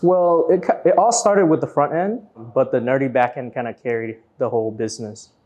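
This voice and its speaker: A man from Vietnam, raised partly in Florida, answers as an interview guest. His voice sits at a medium pitch. He talks casually and lays out his explanations one step at a time. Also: brisk, darkish tone, highs cut off. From born in Vietnam, grew up in Florida from age nine. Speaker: interview guest, business owner.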